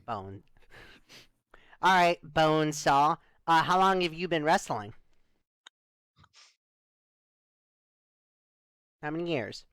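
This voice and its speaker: A man playing a skeptical, dry-humored spaceship crewmate in improvised, conversational sci-fi roleplay, full of deadpan questions. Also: a little high, measured pace, smooth, no room sound. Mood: skeptical, questioning.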